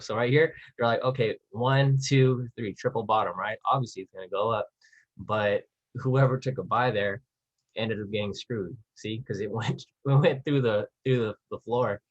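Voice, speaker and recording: A man's voice: medium pitch, muffled recording. A man hosting a live trading webinar, explaining things in a casual, slangy, conversational way to his listeners.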